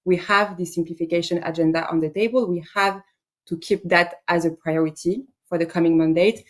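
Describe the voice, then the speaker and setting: A woman's voice: on the low side, fairly even intonation, brisk. A woman on a panel who speaks for small businesses in an earnest, emphatic way. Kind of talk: panel discussion.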